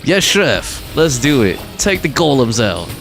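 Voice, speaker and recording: A man's voice: medium pitch, sing-song, unhurried, loud music behind the voice. A man doing casual live gameplay commentary in a slangy, reactive way with some swearing. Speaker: live streamer playing a game.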